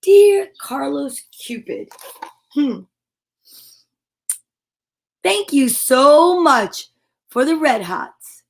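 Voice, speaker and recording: A woman voicing an excitable, demanding little girl in a storybook, exclamatory and theatrical. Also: sits high, exaggerated voice. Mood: polite complaint.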